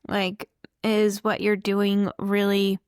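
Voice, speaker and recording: A slightly hoarse woman giving a casual tarot reading to camera, chatty and reflective, thinking aloud as she goes. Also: mid-range voice, completely flat delivery, takes it slowly, dry recording, clean studio sound. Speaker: tarot reader.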